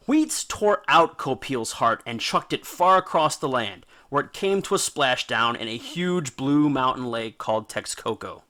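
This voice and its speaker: A man's voice: medium pitch, pushed and bright. A man narrating a scripted myth in a colloquial, theatrical and playful style, as the host of an educational series. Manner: theatrical, playful, punchy delivery with dramatic pauses.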